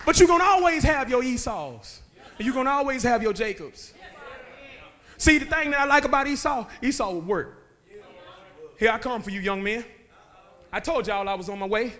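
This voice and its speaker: A man, preaching a sermon that moves between joking talk and shouted calls to the men. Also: extremely high pitch, expressive, normal speed, long pauses. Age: 30s. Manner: conversational preaching that builds to shouting, with laughs and rhetorical questions. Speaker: guest preacher. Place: church sanctuary.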